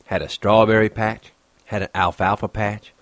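A man acts out a lonely stable hand for an audiobook. He is bitter and scornful, brooding in plain rural ranch talk. The voice sits fairly low in a dry, close recording. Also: normal speed, no echo, lightly acted. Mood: dreamy.

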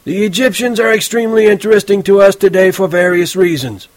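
A man reading an audiobook, voicing a sarcastic old male history teacher who speaks slowly and deliberately. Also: sits high, no echo, pitched above the performer's own voice, natural voice. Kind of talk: audiobook character voice.

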